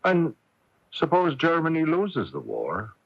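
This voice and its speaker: An actor playing a stubborn, idealistic Irish patriot in a radio drama, earnest and resolute. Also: male voice, a little high, huge pitch swings, slow, gravelly, husky, full character voice. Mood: skeptical, challenging. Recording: muffled AM radio broadcast tape.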